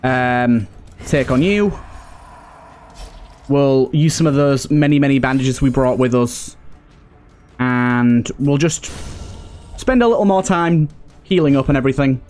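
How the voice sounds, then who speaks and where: A man's voice: medium pitch, unhurried, pauses often, a little mellow, soft background music. A British man giving casual let's play commentary, thinking aloud and addressing the characters on screen as he goes.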